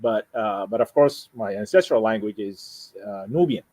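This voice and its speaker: A man from Sudan, a native Arabic speaker with a Sudanese Arabic accent, talking casually and haltingly as he explains himself, often repeating and rephrasing. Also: lowish voice, a little mellow, no room sound. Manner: halting, repeats and rephrases, frequent "you know". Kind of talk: casual conversation, explaining personal plans.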